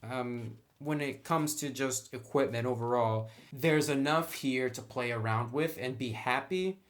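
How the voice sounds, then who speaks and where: A man's voice: medium pitch, a little slow. A man giving a casual, unscripted review as he browses through a book, talking conversationally through each item.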